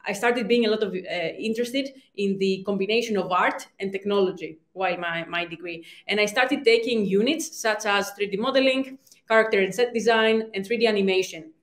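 A woman's voice: medium pitch, fairly quick. A young woman from Greece, a startup founder giving a conference talk, hesitant, with many ums and restarts. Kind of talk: conference presentation. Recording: roomy reverb.

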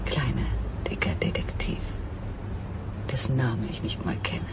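A woman's voice: very deep voice, fairly even intonation, normal speed, heavy background noise. A woman playing a seductive, scheming femme fatale in a crime radio play, with a languid, confiding delivery. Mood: confiding, resigned.

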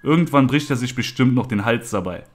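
A plain-spoken, reassuring policeman in a horror audio story, read by the male narrator. He has a medium-pitched voice with faint music behind it. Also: animated, a little fast, lightly acted. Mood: matter-of-fact. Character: matter-of-fact, reassuring male police officer.

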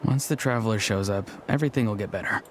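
An actor playing a gloomy, earnest young man with a subdued, deadpan, halting delivery in a video game. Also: lowish voice, very noisy, natural voice. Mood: gently sincere. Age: young adult. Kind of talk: scripted game dialogue acting. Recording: game music behind.

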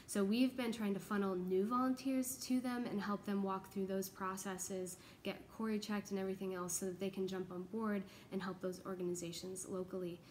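A woman's voice: medium pitch, little pitch movement, normal speed, hardly any pauses. Young American woman, faint room noise behind. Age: young adult. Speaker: church pastoral associate. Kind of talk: informal video update to fellow pastors. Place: large open indoor office space with exposed ductwork.

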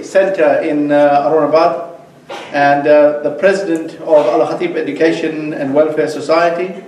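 A man hosting an event, giving a polite, halting introduction in a mosque meeting room. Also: fairly high pitch, darkish tone. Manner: polite, halting, many fillers. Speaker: host introducing the speaker. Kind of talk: formal introduction. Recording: echoey room from far off.

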